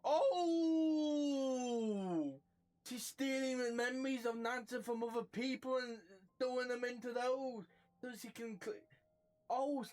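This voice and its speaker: Slow, swoopy-voiced woman gamer reacting. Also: high-pitched. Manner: blunt, swearing, emotive. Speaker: game streamer. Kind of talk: casual reactions to a game playthrough. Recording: faint game music behind the voice.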